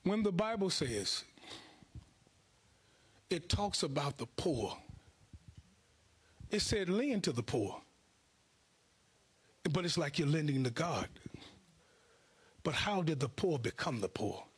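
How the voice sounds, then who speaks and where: Casting: American male preacher, raised-pitch husky voice, colloquial and emphatic sermon delivery on a handheld mic. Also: very animated, slow pace, long pauses. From Chicago and Houston, United States. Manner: emphatic, colloquial, repeats phrases for effect. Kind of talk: sermon to a congregation. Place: indoor church stage at a lectern. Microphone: handheld microphone.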